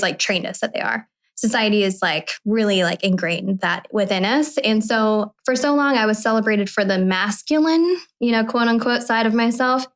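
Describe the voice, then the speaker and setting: A woman in her early thirties hosting a podcast, talking candidly and at length, with restarts and the odd swear. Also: fairly high pitch. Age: 30s. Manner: candid, animated, rambling, with swearing. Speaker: podcast host. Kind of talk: casual podcast conversation.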